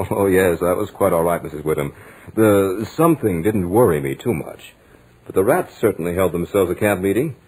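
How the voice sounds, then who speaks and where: A man playing a scholarly gentleman lodger, narrating a haunted-house ordeal with dramatic, deliberate emphasis in 1940s radio style. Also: sits low, slightly rough, low-quality recording. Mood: amused, polite. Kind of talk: 1940s radio horror acting, first-person narration. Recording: vintage 1940s radio recording, music behind.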